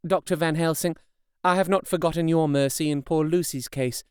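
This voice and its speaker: A devoted young wife, as a male reader puts her on, pleading with earnest tenderness. The voice sits noticeably higher than the reader's own, smooth and resonant. It is heard dry and close, with no room around it. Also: fairly even intonation, normal speed, dry recording, natural voice. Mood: solemn pleading. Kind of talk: audiobook character reading.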